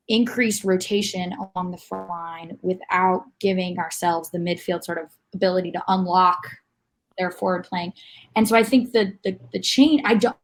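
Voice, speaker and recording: A woman co-hosting a casual sports podcast, analyzing the team and thinking out loud. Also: fairly low pitch. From United States. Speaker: podcast co-host.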